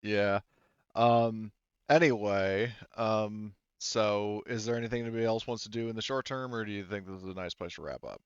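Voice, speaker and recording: A low-voiced man runs a tabletop game in a casual, informal way. His voice is smooth and close, with no room around it, though the audio is a bit dull and lo-fi. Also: normal speed, dry recording. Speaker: game master.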